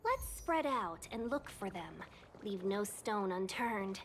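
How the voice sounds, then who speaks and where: A woman's voice: medium pitch, expressive, takes it slowly, a bit bright, slight background noise. A woman voicing a coy, silver-tongued young merchant guide in a video game, with a polished, teasing delivery. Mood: businesslike.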